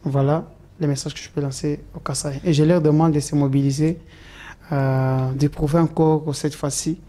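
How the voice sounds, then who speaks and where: Man, mellow dark tone, halting, many fillers, interviewee. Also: fairly high pitch, little pitch movement. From Democratic Republic of the Congo. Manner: hesitant, many fillers and restarts. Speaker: political supporter interviewed. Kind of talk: political interview.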